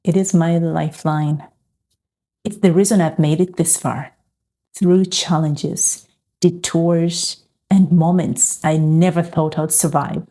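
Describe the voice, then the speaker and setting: Calm, low-voiced, slow-speaking woman. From Uganda. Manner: calm, reflective, earnest. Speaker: faith and lifestyle vlogger. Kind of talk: personal testimony to camera.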